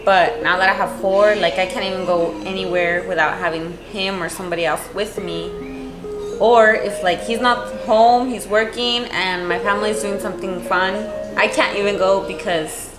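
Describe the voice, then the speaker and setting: A woman's voice: mid-range voice, fairly even intonation, moderate pace. Brief: young woman in her early 20s, playful casual vlogger, echoey room, music behind. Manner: teasing, laughs often. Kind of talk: casual chat.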